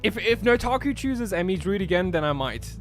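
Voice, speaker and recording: A man's voice: high-pitched, expressive, a little fast, soft background music. A man reading a visual novel's narration aloud on a casual game stream and bantering between passages.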